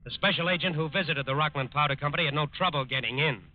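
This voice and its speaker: An actor narrating a 1940s radio crime drama in a measured, emphatic, formal style. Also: male voice, on the high side, faint music behind, close to an everyday voice. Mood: solemn, dramatic. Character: authoritative, solemn male crime drama narrator. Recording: tinny old-time radio broadcast.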